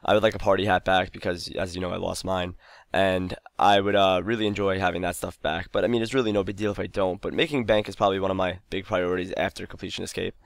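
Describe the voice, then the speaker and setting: A young man talks loosely over his own gameplay, drifting between thoughts with plenty of fillers and false starts. His voice sits very low with a gravelly, fried edge, tired and wearing thin. The sound is dry and close, with no room around it. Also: flat delivery, quick pace, dry recording. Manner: rambling, loose, lots of fillers and restarts. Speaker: gaming channel host. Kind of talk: casual gameplay commentary.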